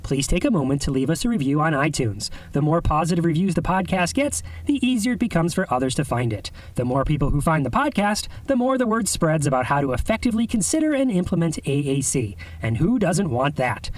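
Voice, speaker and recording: A man with a rather high voice reads a podcast promo with lively, bouncing intonation. The recording is dry and close. His delivery is scripted and friendly. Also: a little fast, hardly any pauses, dry recording, low-quality recording. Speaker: podcast announcer. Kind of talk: read promo spot.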